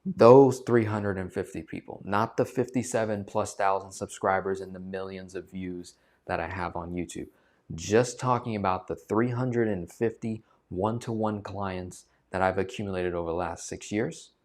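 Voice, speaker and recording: A man's voice: low voice, fairly even intonation, normal speed, a little mellow. A man talking to camera as a finance coach, emphatic and repeating phrases for stress. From United States. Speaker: finance coach and channel host. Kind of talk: direct-to-camera talk.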